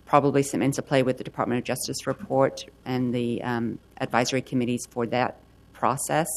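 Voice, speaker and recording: A council member asking questions and giving remarks at a hearing in a measured way. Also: mid-range voice, flat delivery, creaky.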